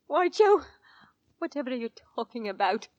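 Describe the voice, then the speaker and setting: High-voiced anxious mother. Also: sing-song, moderate pace, a little mellow, no room sound, highs cut off. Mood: anxious, alarmed. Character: anxious, fearful Victorian mother, grave and determined. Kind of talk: old-time radio drama acting.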